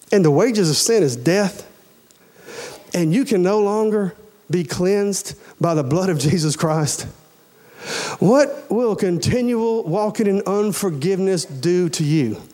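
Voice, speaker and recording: A man's voice: high-pitched, mellow. A man in his forties preaching a sermon in a folksy, emphatic way, repeating phrases and addressing the congregation directly. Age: 40s. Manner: addresses listeners directly with questions and urgings.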